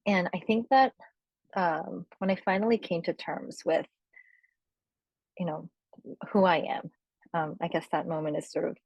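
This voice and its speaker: A young woman from Korea, a panelist sharing personal reflections with many fillers and soft laughs. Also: on the low side, fairly even intonation, measured pace, vocal fry, pauses often, husky, no echo, dull audio.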